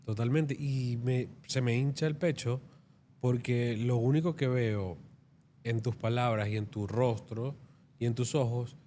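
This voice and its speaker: A man's voice: fairly even intonation, measured pace, dark tone. A man interviewing a guest, listening attentively and affirming often. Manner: attentive, with frequent affirming murmurs. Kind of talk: interview conversation. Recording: faint background rumble.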